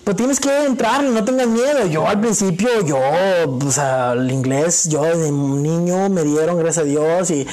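A young man speaks as a podcast guest. His voice sits a little high for a man. He talks in a loose, chatty way and keeps filling his pauses. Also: darkish tone, low-quality recording. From Mexico. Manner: chatty, many fillers like "este" and "vaya". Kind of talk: casual conversation.

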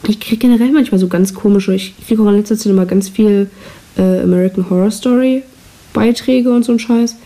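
A woman's voice: mid-range voice, brisk, dark tone. A teenage girl hosting her own podcast, chatting casually in a rambling, self-deprecating way, recorded on a cheap microphone with audible hiss. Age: teens. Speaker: podcast host. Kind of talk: casual solo chat.

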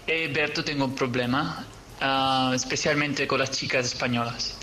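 A man's voice: mid-range voice, steady tone, moderate pace, sharp tone, slight background noise, low-quality recording. A young man putting an earnest personal question to a show as a caller. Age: young adult.

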